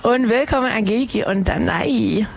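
A woman's voice: a little high, lively intonation, moderate pace, vocal fry, slight background noise. A woman hosting a live radio show outdoors, chatting and teasing her listeners with many fillers. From Harz, Germany. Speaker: radio host. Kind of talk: casual live radio chat. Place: outdoors at a live street broadcast.

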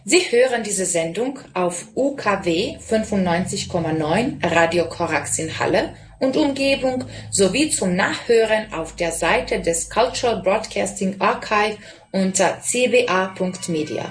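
A woman's voice: medium pitch, expressive, unhurried, a little hiss or hum. A young woman presenting a radio programme, reading a scripted introduction. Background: music.

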